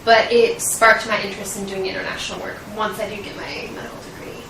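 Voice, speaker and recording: A woman's voice: medium pitch, little pitch movement, fast talker, gravelly, a bit bright. A young woman from Washington State with an American accent, giving earnest, hesitant answers as a medical student in an interview. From Washington State, United States. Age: young adult. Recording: roomy echo, distant mic, faint noise.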